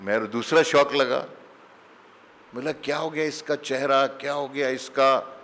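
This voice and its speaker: Spiritual teacher, man, middle-aged, unhurried storytelling sermon, mid-pitched, long hall echo. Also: steady tone, a bit bright. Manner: slow, mixes English phrases into Hindi. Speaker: spiritual teacher giving satsang. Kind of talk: conversational sermon with anecdotes.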